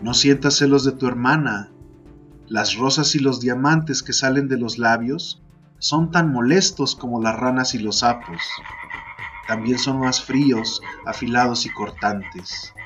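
A man narrating a literary fantasy poem as a podcast host, in a measured, deliberate storytelling style. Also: mid-range voice, a bit bright, muffled recording. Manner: measured, deliberate, second-person storytelling delivery. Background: music.

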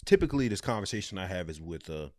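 A man's voice: low voice, big pitch swings, fast talker, darkish tone. A man co-hosting a casual podcast who tells stories about his own conversations. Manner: recounts conversations, acts out quotes. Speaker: podcast co-host. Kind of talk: casual chat, storytelling.

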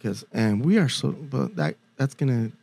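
A man, a guest musician chatting casually in slangy, halting, repetitive phrases. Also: medium pitch, very animated, creaky, darkish tone, breathy edge, no echo. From San Diego, United States. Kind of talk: casual chat.

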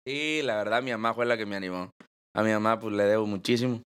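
A man's voice: fairly low pitch, fast, fairly bright tone, smooth. A man in his twenties with a Mexican accent, a banda singer telling stories as the guest in a casual interview. He jokes, laughs and uses slang. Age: 20s. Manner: laughing, joking storyteller, slangy.

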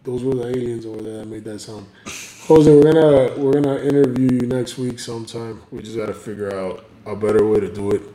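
A man's voice: medium pitch, steady tone, brisk, mellow. A man co-hosting a casual podcast, talking in a crude, rambling way with lots of filler words. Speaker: podcast co-host. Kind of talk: casual chat. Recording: echoey room with faint background noise.

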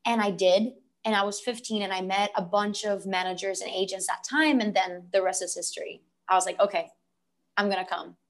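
A young woman from Rico, telling her story as a guest in a lively, rambling way with lots of "like". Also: medium pitch, fairly quick. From Puerto Rico. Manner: animated, rambling storyteller, frequent "like". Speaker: guest actress. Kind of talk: casual interview storytelling.

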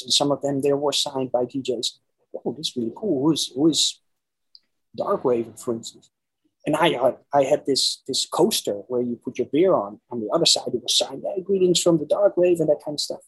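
A man's voice: medium pitch, normal speed, slightly rough, low-quality recording. A man in his forties with a Dutch accent, speaking English as a second language, casually telling personal stories as an interview guest and often repeating words as he searches for them. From Netherlands. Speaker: interview guest recounting his musical past.

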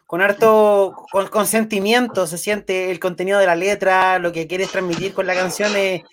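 Male co-host, high pitch, casual repetitive phrasing, noisy recording. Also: steady tone, moderate pace. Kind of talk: casual interview chat. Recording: clearly noisy background.

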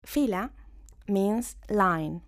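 A woman narrating a story for language learners at a slow, deliberate pace, carefully enunciating each word. Soft music plays faintly beneath her voice. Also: mid-range voice, expressive. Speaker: podcast host and story narrator.

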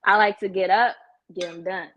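A woman with an American accent chats casually at a middling pitch. She is frank and sassy, speaking in relaxed slang and making her points straight up. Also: normal speed, dull audio. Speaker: salon client, guest. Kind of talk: casual chat.

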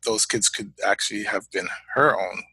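A Canadian man and father of young children, talking as a guest in a reflective interview, measured and halting, often repeating words as he thinks. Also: lowish voice, sharp tone, slightly rough, dry recording, poor audio.

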